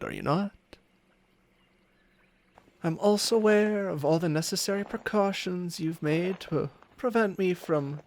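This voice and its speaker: A man's voice: a little high, no echo. A man voicing a smug, seductive ancient dragon in humanlike form for an ASMR roleplay, teasing and haughty in formal words, with murmurs that slip into whispers. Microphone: close microphone, dry sound.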